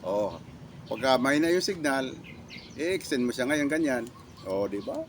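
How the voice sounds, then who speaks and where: A Filipino man giving a casual product demo as a reviewer, talking in a halting, repetitive way with catchphrases. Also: medium pitch, animated, slight background noise.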